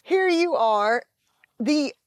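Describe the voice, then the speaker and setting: Woman host, fairly high voice, chatty and restarting often, dry close recording. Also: expressive, drawn-out words, no echo. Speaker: podcast host. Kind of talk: casual interview chat.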